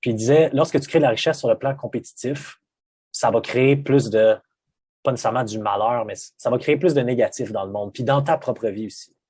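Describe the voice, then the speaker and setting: A man's voice: mid-range voice, fairly quick, a little mellow, slightly rough, no room sound. A man with a Québécois French accent, a guest speaking reflectively in casual conversation, with frequent hesitant restarts.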